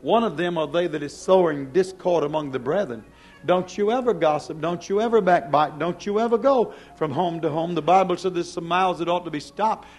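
Male hellfire preacher, high-pitched voice. Stern, accusatory, emphatic, with big swings in volume. Faint music underneath. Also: a little fast. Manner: stern, accusing, with repeated rhetorical questions; emphatic, swinging between hushed and loud. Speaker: preacher, church pastor. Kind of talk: fiery hellfire sermon. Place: church service before a congregation.

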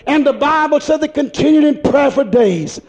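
A man preaching a fiery sermon, stretching out his words, calling hallelujah and swinging from shouts to low murmurs. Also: expressive. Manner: dramatic, drawn-out exclamations, calls of hallelujah. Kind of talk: Pentecostal-style sermon.

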